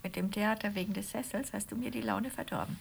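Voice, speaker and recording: An actress playing a frustrated but hospitable wife in a radio play, dry and matter-of-fact, with a husky, creaky voice. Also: female voice, medium pitch, fast talker, slight background noise, close to an everyday voice. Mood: resentful, dry. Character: frustrated, hospitable wife, quietly defiant toward her husband. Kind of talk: German radio play acting.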